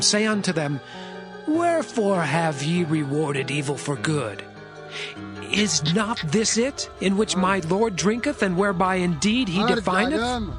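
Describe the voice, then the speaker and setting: Man acting a high-pitched, commanding Egyptian governor. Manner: declamatory, archaic scriptural diction. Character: Joseph, commanding male governor of Egypt, stern lord. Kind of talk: dramatized Bible audio acting.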